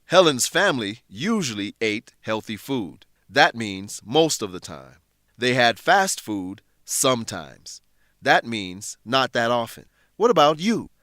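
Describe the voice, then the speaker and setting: Animated male English lesson narrator. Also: mid-range voice, no room sound, clean studio sound. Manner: deliberate, instructive. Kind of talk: scripted educational narration.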